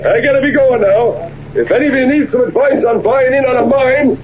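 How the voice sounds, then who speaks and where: An actor playing a boastful, sly American miner with a swaggering cowboy way of talking in an old radio western. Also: male voice, extremely high pitch, brisk. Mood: swaggering, jovial. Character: boastful, scheming Old West miner, free-spending and sly. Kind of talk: 1930s-40s radio western acting. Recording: tinny old radio recording, background chatter.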